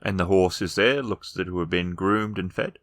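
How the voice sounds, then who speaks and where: A man narrating a tabletop game as game master, improvising descriptions in halting phrases. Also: low voice, fairly bright tone, no echo.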